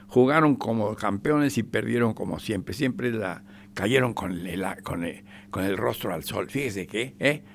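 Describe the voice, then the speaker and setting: A man's voice: on the low side, unhurried, creaky, hardly any pauses, slight background noise. A man with a Mexican accent giving sarcastic radio commentary, emphatic and repetitive, sometimes dropping to a whisper.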